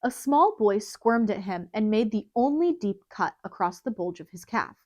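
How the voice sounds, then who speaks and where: A woman's voice: medium pitch, lively intonation, normal speed, darkish tone, dry recording, studio quality. A woman reading a story aloud as a dramatic scripted audiobook narration.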